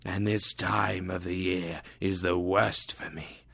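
A man voicing the ghost of a dead businessman, whispering slowly and gravely. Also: low voice, level tone, pitched below the performer's own voice, full character voice. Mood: regretful. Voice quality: whispery, hushed. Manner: slow, grave. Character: ghost of a dead old businessman in chains, mournful.

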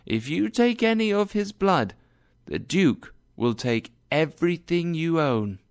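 A man voicing a young woman disguised as a wise judge, speaking in a raised, slow, emphatic voice. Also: a little high, big pitch swings, clear, resonant tone, voice pushed up. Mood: stern, commanding.

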